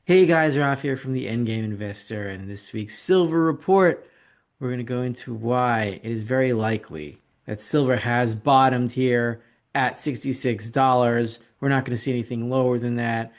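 A man's voice: medium pitch, normal speed. Opinionated man rambling through market commentary. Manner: opinionated, rambling, sarcastic asides. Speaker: host of an investing video report. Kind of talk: casual market commentary with slides.

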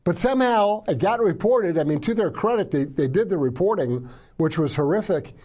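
A man's voice: high voice, animated, moderate pace, a little mellow. A man hosting an interview, speaking emphatically and often repeating words as he starts a sentence. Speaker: interviewer, show host.

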